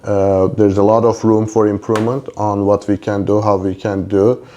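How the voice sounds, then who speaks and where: Low, mellow-voiced man from Turkey. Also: flat delivery, normal speed, muffled recording. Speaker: interview guest, company co-founder. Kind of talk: conversational podcast interview.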